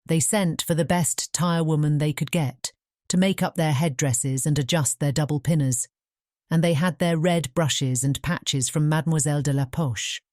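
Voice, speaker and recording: A woman with a British English accent narrating a fairy tale audiobook in a measured storytelling manner. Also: deep voice, steady tone, a little mellow, no room sound, clean studio sound.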